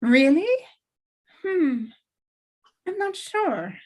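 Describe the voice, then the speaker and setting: Woman playing a high-voiced, flustered young waitress. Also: very animated, drawn-out words, a little mellow, exaggerated voice. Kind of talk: table read acting.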